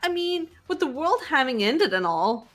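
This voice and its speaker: A woman's voice: high-pitched, very animated, normal speed, fairly bright tone, faint music behind. A woman voicing a haughty, gloating young female mastermind in a fan-dubbed video game, grandiose and mocking. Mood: taunting.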